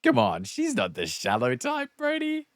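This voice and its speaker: A man's voice: mid-range voice, huge pitch swings, normal speed, no echo, clean studio sound. A man playing a sarcastic, bantering young best friend in an exaggerated comedy script read. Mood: teasing.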